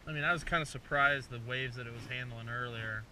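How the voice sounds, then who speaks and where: A man's voice: medium pitch, fast, pushed and bright, far from the mic, rough recording. A man hosting a fishing vlog, chatting casually with bro-ish slang and saying "dude" constantly. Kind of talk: casual excited chat while fishing, then recap to viewers. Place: outdoors on a small fishing boat. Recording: wind, boat and engine noise.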